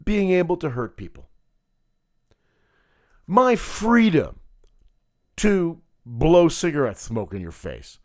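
A man hosting a political podcast, talking solo in a sarcastic, combative way with a gravelly voice. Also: on the high side, very animated, slow, long pauses, a little mellow. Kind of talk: opinionated solo commentary.

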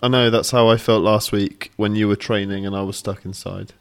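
A man's voice: lowish voice, steady tone, fairly quick, clear, resonant tone. A young man co-hosting a casual podcast, laid-back and playfully mocking. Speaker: podcast co-host. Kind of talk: casual chat.

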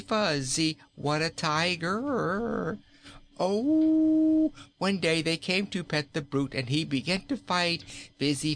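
A man's voice: sits high, vocal fry, a bit bright, voice pushed up, heavily acted. A man reading a storybook aloud, voicing a fat, timid king in a panicky, pleading way. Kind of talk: audiobook character voice.

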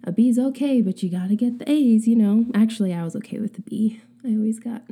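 A woman's voice: fairly high pitch, quick pace, warm tone, slightly rough. A tired young woman, a guest on a casual podcast, who giggles and often drops into a whisper. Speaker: guest, host's wife. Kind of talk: casual chat.

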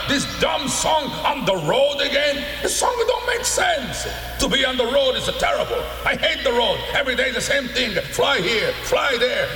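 A man playing a hot-tempered Italian opera star on a comedy record, booming and speaking in broken English with an Italian accent. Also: pushed and bright, roomy sound, big-room sound. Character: pompous excitable male Italian opera star. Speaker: comic character in a studio sketch.

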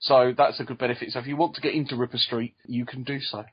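A man's voice: mid-range voice, flat delivery, fast, slightly rough. A man co-hosting a podcast in casual, jokey chat. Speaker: podcast co-host. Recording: dull lo-fi sound.

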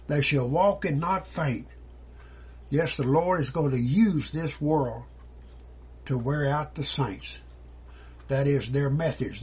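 A man with a very husky, somewhat high voice gives an informal Bible teaching. He reads verses and then explains them in a folksy, conversational manner, with rhetorical asides. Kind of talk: informal sermon, reading and explaining scripture verses.

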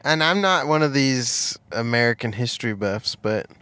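A man's voice: mid-range voice, animated, moderate pace, bright, forceful tone, smooth, no room sound. A man co-hosting a movie podcast, chatting casually and jokingly in a giggly, playful manner.

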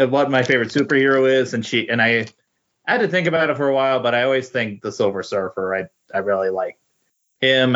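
A man chats casually as a podcast guest. His pitch is average for a man. The recording is a little dull and lo-fi, missing some crispness. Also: fairly bright tone. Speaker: returning guest co-host. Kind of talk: casual chat.

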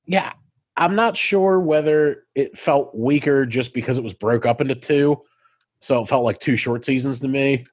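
A man's voice: medium pitch, normal speed, no room sound, highs cut off. A man co-hosting a podcast in casual chat, rambling, swearing freely and laughing often, on a home mic over a video call. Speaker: podcast co-host.

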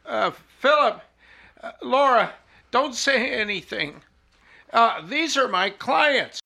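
A man's voice: very high-pitched, expressive, takes it slowly, creaky, sharp tone, faint music behind, full character voice. An actor playing a flustered, meek, bumbling man who stammers and hesitates, in an audio drama. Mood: flustered, insistent.

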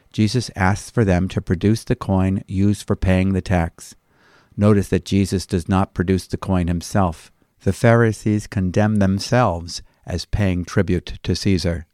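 A man reading a scripted devotional Bible commentary as a pastor, with a measured, teaching delivery. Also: low-pitched, a little mellow, dry recording, clean studio sound.

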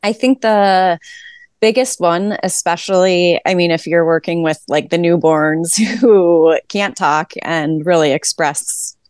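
A woman's voice: lowish voice, takes it slowly, darkish tone, clean tone, no echo, studio quality. A woman guesting on a podcast, a teacher and mom, answering easily and laughing often. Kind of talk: casual interview answers.

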